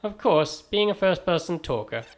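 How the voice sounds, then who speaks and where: Wry transatlantic man with lively delivery. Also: sits high, moderate pace. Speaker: video game reviewer. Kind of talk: scripted review narration.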